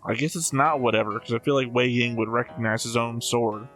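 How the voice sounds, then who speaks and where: A man's voice: mid-range voice, fairly even intonation, brisk, a bit bright, soft background music. A man commenting casually on a show as a reaction host, in a wry, joking way.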